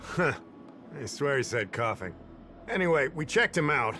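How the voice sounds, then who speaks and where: An actor voicing a relaxed, authoritative male official in a video game, confident and lightly humorous. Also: mid-range voice, moderate pace. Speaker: commander of an investigation. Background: game music.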